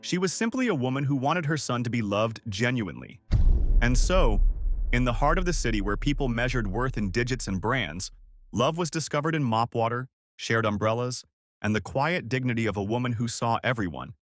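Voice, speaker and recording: A man narrates a scripted story with a dramatic, measured delivery. His pitch moves with plenty of animation from phrase to phrase. The sound is dry, with no room around the voice. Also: expressive, a bit bright, dry recording.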